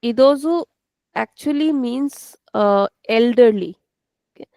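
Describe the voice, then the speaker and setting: A woman's voice: fairly high pitch, measured pace, warm tone, no echo. A woman with an Indian English accent teaching an online language class, explaining patiently and repeating each point step by step.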